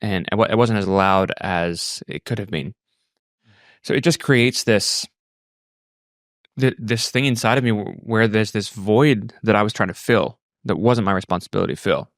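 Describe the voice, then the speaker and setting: A man in his thirties with an Australian accent, telling his personal story reflectively and haltingly, repeating words as he thinks. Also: on the low side, no room sound, studio quality. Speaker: podcast guest.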